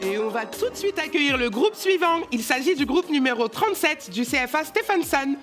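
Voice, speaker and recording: A woman hosts a stage evening, announcing each group with a lively, joking manner. Her voice is fairly high and swings widely in pitch. Music plays clearly behind her. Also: fairly quick. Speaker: host.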